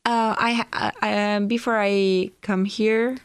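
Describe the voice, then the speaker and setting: Young woman, Kurdish accent, native Kurdish speaker, smooth clear voice, dry close sound, hesitant, searching for words. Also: mid-range voice, steady tone, takes it slowly, no echo. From Iranian Kurdistan. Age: young adult. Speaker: guest. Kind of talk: interview answers.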